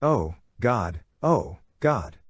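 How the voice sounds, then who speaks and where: A man voicing a frantic, grieving teenage boy fighter in an audiobook, wailing and yelling. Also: fairly low pitch, very animated, very slow, husky, dry recording, studio quality, exaggerated voice. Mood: panicked grief.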